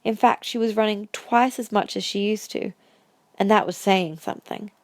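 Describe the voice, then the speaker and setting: A woman narrates a scripted audio drama in story prose. Her voice has a medium pitch and the sound is close and very dry. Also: fairly even intonation, moderate pace, dry recording.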